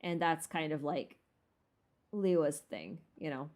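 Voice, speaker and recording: A woman's voice: deep voice, expressive, takes it slowly, a little mellow. A woman livestream host chatting casually about a game, thinking aloud in an informal way. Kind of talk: casual chat, game lore rambling. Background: background music.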